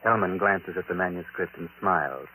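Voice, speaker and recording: A man's voice: low voice, sharp tone, slight background noise, poor audio. A man playing a sinister, knowing narrator of a 1940s radio mystery, dramatic and ominous, on a vintage recording. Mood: intrigued. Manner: dramatic, ominous, deliberate phrasing. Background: music.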